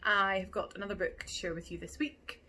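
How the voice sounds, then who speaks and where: A woman reading a picture book aloud in a gentle, measured, expressive storytelling manner, like a teacher at storytime. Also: medium pitch, a little hiss or hum.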